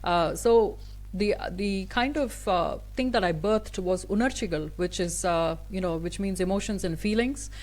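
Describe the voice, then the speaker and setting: Woman, Tamil first language, middle pitch; earnest, reflective interview guest with many restarts. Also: measured pace, a little mellow. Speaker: guest speaker. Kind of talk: conversational interview answer.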